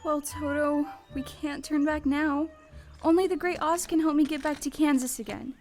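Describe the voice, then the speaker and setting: A woman's voice: sits high, fairly even intonation, normal speed, darkish tone, soft background music. A woman playing a plucky, polite little farm girl, sincere and wide-eyed, in an audio play performed on stage. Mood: surprised, resolute. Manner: earnest, wide-eyed, proper. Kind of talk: stage radio play acting.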